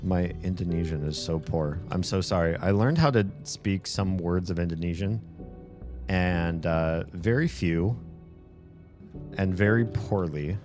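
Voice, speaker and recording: A man's voice: low-pitched, a little slow. A man chatting casually and at length on a live stream from a room at home, rambling and correcting himself as he goes. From United States. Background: music.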